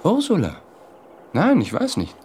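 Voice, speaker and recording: An actor playing an evasive, placating husband in a subdued radio drama, speaking in terse, quiet, hesitant lines. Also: male voice, on the high side, wildly swooping pitch, measured pace, a little hiss or hum.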